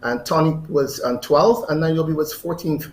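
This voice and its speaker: A man's voice: fairly high pitch, a little slow. A man, an athletics coach answering interview questions. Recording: roomy echo, faint background noise.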